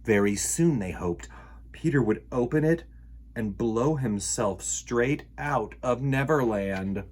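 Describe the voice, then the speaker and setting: A man hosting a children's storytime, reading and talking in a theatrical, expressive way with dramatic pauses. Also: mid-range voice. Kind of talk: animated storybook narration for children.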